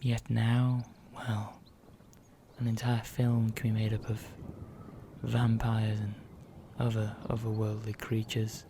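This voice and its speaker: Man as a slow, hushed, menacing vampire. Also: on the low side, completely flat delivery, background noise. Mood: calm, menacing. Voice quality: soft, breathy, often dropping into whisper. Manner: slow, deliberate, pausing, formal. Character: ancient male vampire, calm, menacing, condescending to mortals. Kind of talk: ASMR roleplay monologue.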